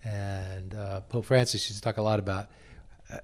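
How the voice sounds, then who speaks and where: A priest interviewing on a radio program, speaking haltingly, restarting and repeating words as he gathers his thoughts. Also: fairly low pitch, flat delivery.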